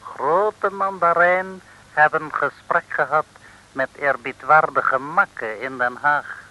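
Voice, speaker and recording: Gloating, falsely polite courtier, broken speech, high and very sharp, unhurried, vintage radio serial. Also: male voice, exaggerated voice, poor audio. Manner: singsong broken speech, sly formal politeness. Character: sly, gloating male Eastern courtier, caricatured, with pidgin speech. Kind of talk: 1960s radio comedy serial acting.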